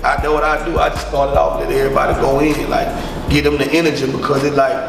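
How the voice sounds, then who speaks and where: Gravelly deep-voiced Southern man, rambling. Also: completely flat delivery, quick pace. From Louisiana. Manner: loose, rambling, heavy filler words. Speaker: rapper. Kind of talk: casual interview. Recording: roomy echo from far off with music.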